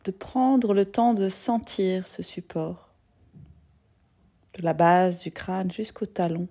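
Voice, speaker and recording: A woman yoga nidra teacher leading a guided meditation in a gentle, soothing, soft-spoken manner with long pauses. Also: medium pitch.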